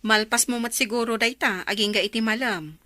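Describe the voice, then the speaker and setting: Inquisitive Ilocano woman, bright voice. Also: on the high side, fairly quick, no echo. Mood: frank, curious. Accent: Filipino, Ilocano. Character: Eva, frank questioning woman giving Cora laundry work. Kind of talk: Ilocano radio drama acting.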